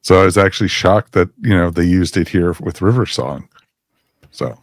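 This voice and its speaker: A man's voice: deep voice, normal speed, creaky, no room sound, studio quality. A man talking casually as a panelist in a podcast review discussion. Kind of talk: casual chat reviewing audio dramas.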